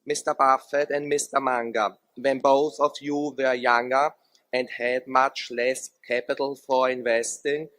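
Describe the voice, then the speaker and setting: A man's voice: mid-range voice, flat delivery, unhurried, a bit bright, dry recording. Austrian-accented man, formal and careful. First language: German. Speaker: audience member asking a question. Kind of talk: prepared question.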